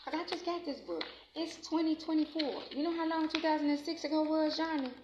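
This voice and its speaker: A woman from Chicago in her forties, casually reviewing a book on a vlog, reading aloud haltingly and rambling with many ums. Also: high voice, a little mellow. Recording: echoey room, muffled lo-fi with faint noise.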